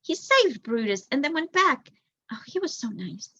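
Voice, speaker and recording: A woman's voice: sits high, big pitch swings, normal speed, bright, forceful tone, slightly rough, dry recording, lo-fi sound, voice pushed up, full character voice. A woman voicing a tender, earnest young girl mouse in a storybook, emotional and questioning. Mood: upset.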